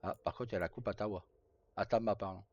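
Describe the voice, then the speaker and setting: A man's voice: fairly low pitch, fairly even intonation, fast, dry recording. A man giving casual live game commentary, muttering short remarks to himself as a streamer. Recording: muffled audio with faint background noise.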